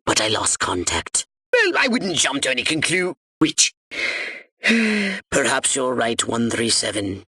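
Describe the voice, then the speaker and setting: A man voicing a pompous, short-tempered alien commander in a video game, haughty and precisely worded, with a nasal, sharp-edged delivery. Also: mid-range voice, wildly swooping pitch, a little slow, slightly rough, no room sound, studio quality, full character voice. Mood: scheming, measured. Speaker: mission commander giving orders. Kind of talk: theatrical video game voice acting.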